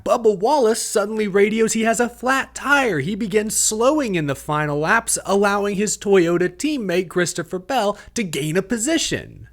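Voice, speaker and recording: American man presenting motorsport news, clear and emphatic. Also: a little high, fairly bright tone. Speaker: host of a motorsport news show. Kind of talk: scripted news recap and commentary to camera.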